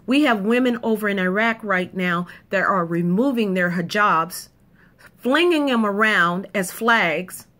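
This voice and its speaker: A woman's voice: mid-range voice, unhurried, pushed and bright. A woman delivering an emphatic, punchy opinion monologue to camera, repeating points for effect. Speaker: video commentator.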